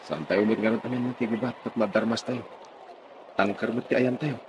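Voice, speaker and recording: A man's voice: lowish voice, steady tone, brisk, noisy background, lightly acted. An actor playing a weary, anxious ship's crewman in a radio drama, earnest and worried.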